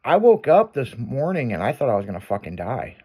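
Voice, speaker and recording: A man's voice: mid-range voice, wildly swooping pitch, fairly quick, a little mellow, husky. A man hosting a radio show, chatting casually, rambling and swearing, trailing off with fillers. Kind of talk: casual on-air chat.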